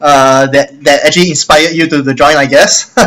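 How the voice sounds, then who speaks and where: A man with a Singaporean accent hosting a casual interview, apologetic and quick to laugh. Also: fairly high pitch, pushed and bright. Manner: laughs often, friendly and apologetic. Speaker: host.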